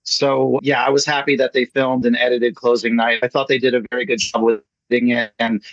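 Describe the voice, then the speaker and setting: A man's voice: medium pitch, level tone, moderate pace, no room sound. A man speaking casually as a guest playwright and actor in an online meeting. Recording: dull lo-fi video call audio.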